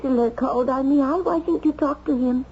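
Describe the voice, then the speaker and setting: An actress playing a forgetful, chatty old widowed mother in a radio drama, rambling and repeating herself in a creaky voice. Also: sits high, fairly quick. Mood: chatty, fretful. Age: older. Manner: rambling, repetitive, scattered. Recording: dull, noisy old radio recording.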